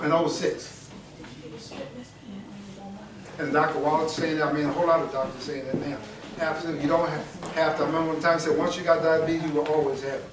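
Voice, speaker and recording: An older man giving an informal, joking health talk to a group, folksy and prone to repeating himself. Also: on the high side. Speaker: wellness speaker. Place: indoor room with an audience. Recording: roomy, from a distance, with a crowd.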